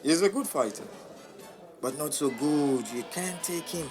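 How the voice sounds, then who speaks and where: An actor playing a calm, money-minded boxing manager who talks smoothly and reassuringly in an audio drama. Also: male voice, fairly high pitch, a little slow, music in the background. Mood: persuasive. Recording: clear background noise.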